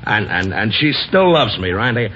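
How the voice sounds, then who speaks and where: A muffled, noisy old radio recording of a broken, lovesick man with a low voice. He is slow-witted and weary, stumbling over his words and repeating them like a child. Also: sing-song, sharp tone. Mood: pleading, insistent. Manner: hesitant, stammering, repeats himself. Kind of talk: old-time radio drama acting.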